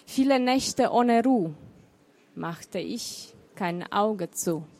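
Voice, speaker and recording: A middle-aged woman whose first language is Romanian, with a Romanian accent, reading her poems in a deliberate, emotional way. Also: medium pitch, animated, a little mellow. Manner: slow, deliberate, emotional recitation. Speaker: poet and author. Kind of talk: poetry reading.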